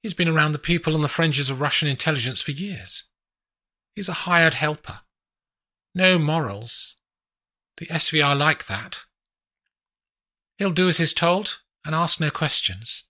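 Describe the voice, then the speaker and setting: A man voicing a calm, composed young woman with a faint foreign lilt, reading a thriller audiobook. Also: on the high side, a little slow, pauses often, voice pushed up. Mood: cool. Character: calm young woman, a cool former assassin. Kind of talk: audiobook character voice.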